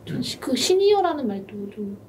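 A woman's voice: a little high, very animated, moderate pace, a little mellow. A woman client asking a coach hesitant questions and giving short replies in a small room. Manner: brief back-channel replies and hesitant questions. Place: small studio room, indoors. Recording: distant mic with faint room noise.

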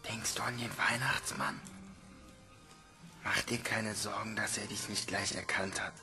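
An actor playing a reassuring, matter-of-fact companion in audio drama dialogue. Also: male voice, fairly low pitch, little pitch movement, a little slow, gravelly, sharp tone, faint music behind, exaggerated voice. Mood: hushed, reassuring. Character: reassuring male companion in a dormitory at night.

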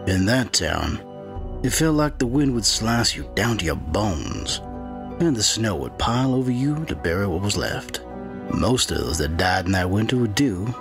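Gravelly, grim small-town sheriff narrator. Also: male voice, sits low, full character voice. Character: grim secretive small-town sheriff telling his dark tale, 1920s. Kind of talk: first-person dramatic storytelling.

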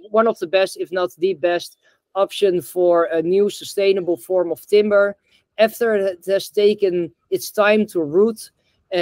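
A man's voice: high voice, a little mellow, no room sound. A young man from the Netherlands on a video call, talking hesitantly with lots of fillers and restarts as an interview guest. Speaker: guest, bamboo reforestation founder. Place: indoor room.

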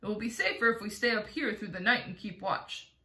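A woman voicing a kindly, cautious old male grasshopper from a storybook in a measured, formal manner. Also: medium pitch, lively intonation, pushed and bright. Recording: echoey room.

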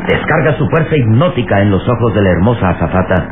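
A man's voice: on the low side, big pitch swings, fast, phone-quality sound, noisy background. A man giving dramatic scripted narration as narrator of an old radio serial. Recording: band-limited old radio serial, music.

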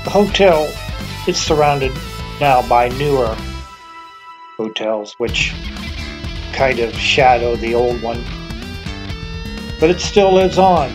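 A man's voice: mid-range voice, animated, slow, pauses often, music in the background. A middle-aged man narrating his walk around an abandoned motel, chatty and enthusiastic, talking to a handheld camera outdoors in the snow. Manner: chatty, enthusiastic, with wandering asides. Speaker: urban explorer vlogger. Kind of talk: casual walking narration. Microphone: handheld camera at arm's length.

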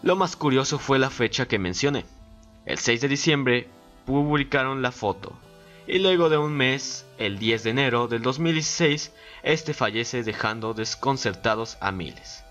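A man's voice: medium pitch, lively intonation, moderate pace, fairly bright tone, lo-fi sound, faint music behind. A man giving scripted narration as a guest collaborator on an online video.

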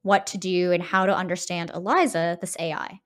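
A woman's voice: lowish voice, steady tone, brisk. A woman co-hosting a podcast in casual discussion, often tagging her phrases with a questioning "right". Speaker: podcast co-host. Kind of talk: casual discussion of a video game.

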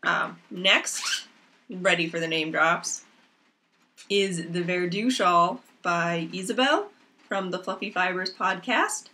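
A young woman rambles through her knitting projects at an unhurried pace, filling gaps with ums. Her tone is bright and clear, though a lingering cough leaves it slightly raspy. She sits at home, speaking into a laptop mic. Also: fairly low pitch, lively intonation. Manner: chatty and rambling, with many ums. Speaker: knitting podcast host. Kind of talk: casual chat to camera. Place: small room at home, indoors. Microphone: laptop camera mic. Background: rain outside.

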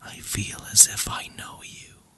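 A storyteller voicing a smitten young prince in a bedtime fairy tale, earnest and pleading, in a breathy whisper. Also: a little slow, bright, forceful tone.

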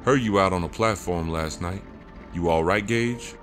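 An actor playing an uneasy, hard-drinking drifter in a horror audio drama, talking in a casual, slangy way. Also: male voice, deep voice, normal speed, fairly bright tone, faint music behind. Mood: uneasy concern. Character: T-Bird, uneasy tequila-swigging drifter, an expectant father.

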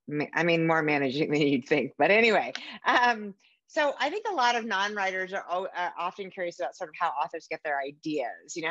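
A woman's voice: fairly low pitch, a bit bright, dry recording. A middle-aged American woman chatting casually as an interview host on a video call. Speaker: podcast host and author. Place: indoor room at home, video call. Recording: slightly dull video-call audio.

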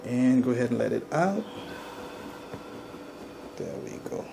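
A man working as a chiropractor, giving a client calm, short, repeated instructions in a soft-spoken way. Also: mid-range voice, unhurried, creaky. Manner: calm, repetitive short cues. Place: small indoor treatment room. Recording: noisy room ambience.

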